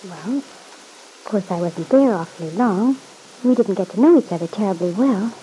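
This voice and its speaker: A woman's voice: mid-range voice, animated, normal speed, dark tone, slight background noise, lightly acted, low-quality recording. An actress playing an anxious, guilt-ridden young mother in an old-time radio soap opera, hesitant, plaintive and defensive. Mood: guarded.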